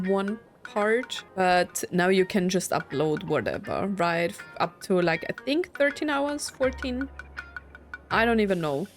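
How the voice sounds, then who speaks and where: A young woman giving casual, informal Let's Play commentary on a story game, reacting as she goes. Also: lowish voice. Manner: reads game dialogue aloud and reacts informally. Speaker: gaming video host playing a story game.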